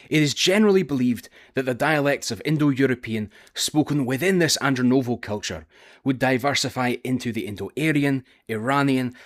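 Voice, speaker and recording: A man with a Scottish accent, presenting a scripted history video in a careful, explanatory way. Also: mid-range voice. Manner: careful, explanatory, with occasional filler "uh". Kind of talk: scripted educational narration.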